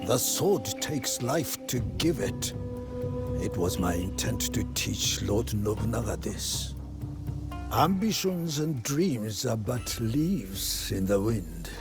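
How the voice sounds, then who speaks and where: A man playing a wise, stern old samurai sword master in a video game, speaking in a measured, sage way. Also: lowish voice, expressive. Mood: solemn. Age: older. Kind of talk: video game cutscene acting. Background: music.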